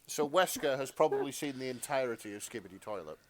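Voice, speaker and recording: A man of middling pitch chats as a podcast co-host with animated, swinging intonation. He sounds bemused and hesitant, searching for words and swearing casually. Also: fast, slight background noise. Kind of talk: casual chat, reading fan fiction aloud.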